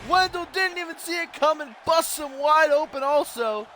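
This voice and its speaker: A young man giving profane, excitable play-by-play of a video game wrestling match, grumbling one moment and yelling the next. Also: extremely high pitch, normal speed, a little hiss or hum. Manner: swings from dry grumbling to yelling big moves; profane, teasing.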